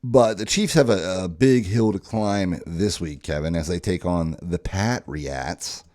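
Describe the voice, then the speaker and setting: A man's voice: deep voice, unhurried. A man in his forties co-hosting a podcast, chatting and teasing about football picks. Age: 40s. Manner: animated, teasing. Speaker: podcast co-host. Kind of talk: casual sports chat.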